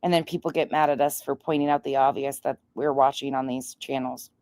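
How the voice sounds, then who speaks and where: A woman hosts a livestream with casual, incredulous commentary. Her voice is very low for a woman and dryly recorded, and she speaks bluntly with plenty of swearing. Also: steady tone, fairly quick, darkish tone, no room sound. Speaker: livestream host.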